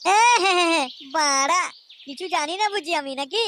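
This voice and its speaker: Very high-pitched, foul-mouthed cartoon husband acted by a man. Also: expressive, quick pace. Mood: exasperated. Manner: crude, swearing, sarcastic grumbling. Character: foul-mouthed, hot-tempered young husband and son-in-law. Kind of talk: comic cartoon dialogue. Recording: noisy track with clicks and chirping birds.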